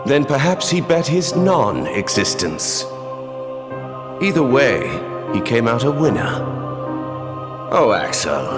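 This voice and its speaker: Suave gambler villain, leisurely and theatrical, swooping pitch, reverberant hall. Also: male voice, sing-song. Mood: wry musing. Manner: unhurried, musing, theatrical. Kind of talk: video game cutscene acting. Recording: reverberant, with clear music behind.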